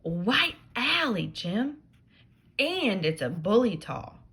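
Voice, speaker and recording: Woman reading a mischievous boy: pitched up, wheedling, bragging, storybook read-aloud. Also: sing-song, takes it slowly, a bit bright, husky, heavily acted. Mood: coaxing. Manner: coaxing, wheedling, boastful. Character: mischievous scheming young boy.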